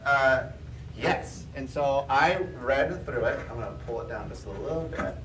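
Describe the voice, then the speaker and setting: A man's voice: mid-range voice, expressive, moderate pace. A man giving an informal public lecture, explaining things in a conversational way to a live audience. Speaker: presenter giving a geology talk. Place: indoor room before a live audience. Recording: roomy, distant mic, faint background noise.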